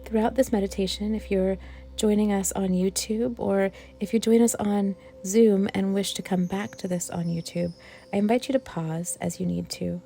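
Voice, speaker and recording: A woman leading a guided meditation for worship, speaking in a gentle, reflective manner with unhurried, pausing phrases. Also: medium pitch, steady tone, vocal fry, faint music behind. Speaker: worship leader guiding a meditation.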